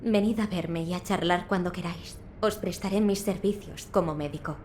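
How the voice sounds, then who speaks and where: A woman portrays a dutiful physician from a video game, with a soft, mellow tone. She speaks in a measured, formal manner with a Castilian Spanish accent. Faint ambient noise runs underneath. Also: lowish voice, close to an everyday voice. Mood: courteous. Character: composed, dutiful female physician in feudal Japan.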